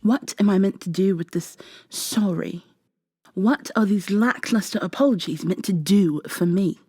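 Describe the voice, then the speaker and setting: A woman voicing a wounded, bitter robot woman in an ASMR audio drama, with soft, cutting, formal speech. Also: medium pitch, a little slow, slightly rough, dry recording. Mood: bitter, sarcastic.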